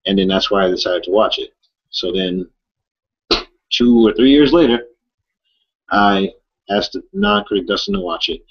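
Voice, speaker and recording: A man with a fairly low, somewhat husky voice co-hosts a casual film discussion. He talks haltingly and often searches for words. The recording is dull and lo-fi. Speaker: movie review co-host.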